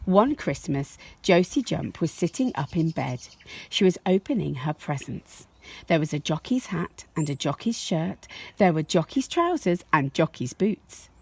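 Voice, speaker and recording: A woman reads a children's story aloud in a teacherly way. Her delivery is slow and highly animated, with measured, expressive phrasing. The voice has a slightly husky grain.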